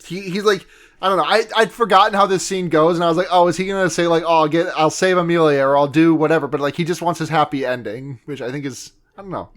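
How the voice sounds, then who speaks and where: A man's voice: a little high, fast, a bit bright. A young man co-hosting a podcast, casually recapping an anime episode, rambling and correcting himself, jokingly acting out characters' lines. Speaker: podcast co-host.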